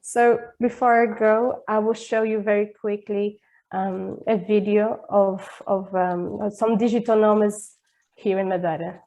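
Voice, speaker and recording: A woman gives a webinar presentation in a mellow voice with little rise and fall. She speaks haltingly, often repeating a word as she builds her sentences. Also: mid-range voice, fairly even intonation. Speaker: presenter of a digital nomad project.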